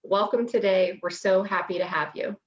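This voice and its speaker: A woman's voice: medium pitch, steady tone, moderate pace. A woman hosting an event, reading a scripted, formal welcome and introduction. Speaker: event host introducing speakers. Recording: muffled, distant mic on virtual event.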